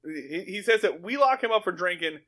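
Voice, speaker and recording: A man's voice: sits high, lively intonation, fairly quick, a bit bright. Man casually recapping TV, swearing freely. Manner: profane, acts out dialogue in paraphrase. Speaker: podcast co-host. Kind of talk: casual comedic recap chat about a TV show.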